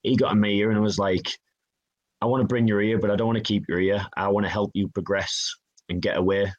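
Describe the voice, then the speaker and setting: A man from the of England with a low voice talks casually in an interview. He tells long anecdotes and quotes other people's lines back in dialogue. His tone is easygoing and self-deprecating. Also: steady tone, fairly quick, lo-fi sound. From Northeast England. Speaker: guest, hockey player.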